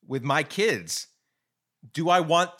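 A man's voice: a little high, slow pace. A man in his thirties hosting a podcast, talking casually and with feeling, full of "like" fillers. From grew up in Guatemala. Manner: casual, many fillers like "like", animated. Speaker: podcast host. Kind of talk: podcast interview.

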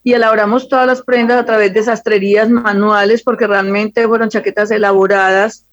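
A woman's voice: mid-range voice, level tone, fairly quick, no room sound. A Colombian woman, a fashion designer, giving spontaneous answers as an interview guest over a dull, lo-fi connection.

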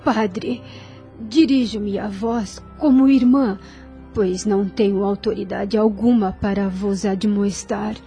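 An actress with a Brazilian accent playing a humble, devout nun in a radio drama, formal, deferential and pleading. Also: female voice, mid-range voice, fairly even intonation, slow pace, warm tone, soft background music.

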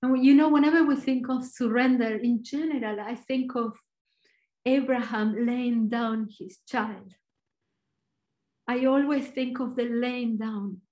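A woman with an Argentinian accent giving a short, earnest devotional talk, reflective and pausing. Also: a little high, darkish tone, rough recording. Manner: earnest, reflective, slow pauses. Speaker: church speaker.

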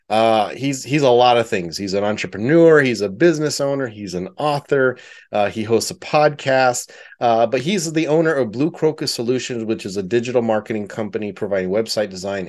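A man hosting a podcast, friendly and a bit halting, with many uh's and restarts as he introduces a guest. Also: medium pitch. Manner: friendly, hesitant, many fillers. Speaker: podcast host.

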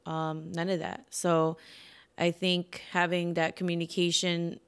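A woman's voice: lowish voice, clear, resonant tone. A woman born in the Philippines who moved to the U.S. as a child, co-hosting a relationship podcast and talking thoughtfully with her husband. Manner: thoughtful, soft-spoken, laughs lightly. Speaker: podcast co-host. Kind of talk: casual conversation with her husband.

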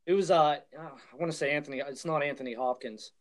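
A man's voice: fairly high pitch, fast talker. A man co-hosting a casual film podcast, chiming in with brief, wry asides. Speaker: podcast co-host. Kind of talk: casual chat about films.

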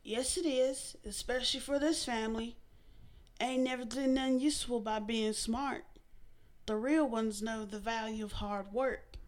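A woman voicing a blunt, stubborn older mother who scolds loudly in folksy dialect. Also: on the high side, fairly even intonation, measured pace, lightly acted. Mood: scolding. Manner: loud, folksy and bossy, drawled dialect.

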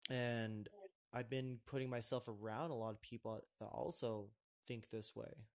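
A man's voice: mid-range voice, steady tone, unhurried, gravelly. A young man hosting a casual podcast chat, rambling with lots of fillers and restarts. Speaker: podcast host.

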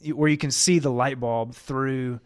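A man's voice: medium pitch, steady tone, moderate pace. A man in his thirties telling a rambling story on a podcast, laughing often and circling back on himself. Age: 30s. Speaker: podcast host. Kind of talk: casual chat.

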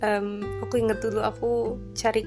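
A woman in her twenties with a light Javanese accent, hosting her own podcast in a chatty, self-deprecating way and dropping in English phrases, her intonation a little flat. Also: on the high side, normal speed. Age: 20s. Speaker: podcast host. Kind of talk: casual solo podcast monologue. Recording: music playing under the voice.

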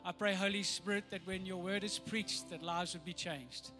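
A middle-aged South African man with a slightly croaky voice, praying and preaching a sermon with earnest, rising emphasis. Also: high-pitched, steady tone, normal speed, over music. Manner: earnest, builds to rousing emphasis. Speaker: pastor. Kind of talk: sermon with prayer.

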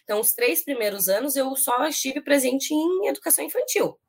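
A Brazilian woman in her thirties with a rural São Paulo accent and drawn-out r, talking casually as an interview guest. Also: sits high, lively intonation. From São Paulo state, Brazil. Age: 30s. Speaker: interview guest, education professional.

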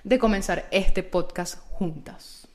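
A woman's voice: on the low side, slow pace. A Venezuelan woman with a Venezuelan Spanish accent, chatting casually and playfully as a podcast co-host, with lots of informal slang. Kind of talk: casual, gossipy podcast chat.

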